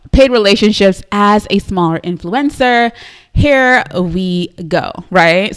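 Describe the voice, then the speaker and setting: Upbeat chatty Trinidadian woman host. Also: lowish voice. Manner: upbeat and chatty, often adds "right?", laughs easily. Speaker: host of a live business show. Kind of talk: live-stream chat to viewers.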